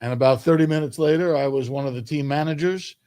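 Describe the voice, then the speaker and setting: An older man from New York reminiscing as an interview guest, speaking in a measured way with emphatic phrasing. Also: a little high, mellow, dry recording. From New York, United States. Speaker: guest, sports public address announcer.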